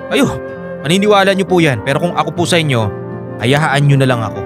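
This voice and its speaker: An actor playing a troubled, defensive young Filipino husband and son in a radio drama. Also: male voice, a little high, expressive, fairly quick, lightly acted. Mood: defensive, irritated. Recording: studio drama with clear music bed.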